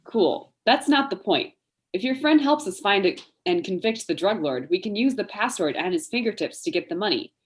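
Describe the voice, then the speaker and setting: A woman's voice: medium pitch, expressive, moderate pace, close to an everyday voice. A woman in an amateur table read playing a practical, skeptical detective's sidekick in a matter-of-fact way. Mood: brisk, practical.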